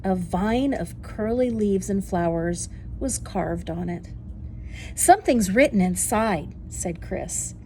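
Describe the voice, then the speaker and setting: A woman reading a children's story aloud in an expressive, deliberate teacher's way. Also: lowish voice, a little hiss or hum.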